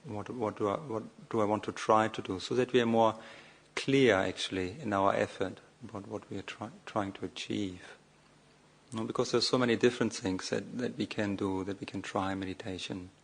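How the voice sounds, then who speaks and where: A man giving a guided meditation as a monk, speaking off the cuff in short, measured phrases with long, hesitant pauses. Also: fairly low pitch, fairly even intonation, creaky, slightly rough.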